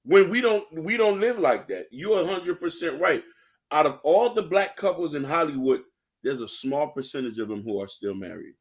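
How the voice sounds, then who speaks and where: Podcast host guy, kinda high voice, preachy and emphatic, lots of rhetorical questions. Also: expressive, moderate pace. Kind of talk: opinionated panel talk with rhetorical questions.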